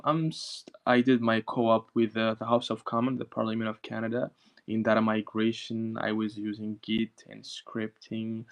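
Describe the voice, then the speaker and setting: Young man, 20s, low voice, gravelly fry, casual self-introduction. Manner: casual, uses "like" often, somewhat subdued. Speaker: meetup participant.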